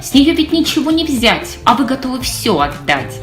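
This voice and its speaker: A woman's voice: high voice, sing-song, moderate pace, soft background music, pitched above the performer's own voice. A woman voicing a grumpy old male owl who scolds and nags in preachy rhymed verse for a children's storybook. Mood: scolding. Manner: preachy, nagging, rhymed speech.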